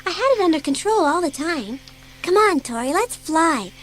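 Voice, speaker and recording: A woman plays a carefree magical girl in an audiobook story. The voice is high and lively, breezy and coaxing, full of mischief. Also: moderate pace, a little hiss or hum. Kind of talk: dramatised children's audiobook acting.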